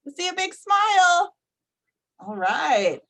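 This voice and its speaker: A woman reading picture books aloud to young children, expressive and deliberate, often putting questions to her listeners. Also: very high-pitched. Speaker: storytime reader for young children. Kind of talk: animated picture-book read-aloud to children.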